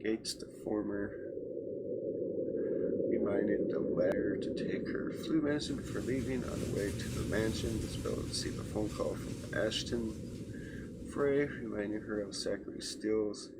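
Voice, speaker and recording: A man's voice: lowish voice, moderate pace. A man reading visual novel narration aloud as a let's play host, stumbling over words and adding casual asides. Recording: loud background noise, game audio.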